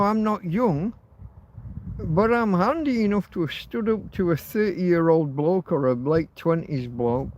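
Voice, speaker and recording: A man's voice: a little high, a little mellow, muffled recording. A man in his fifties hosting a rambling, casual livestream outdoors, talking bluntly and swearing freely. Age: 50s. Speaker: livestream host. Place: outdoors in a field.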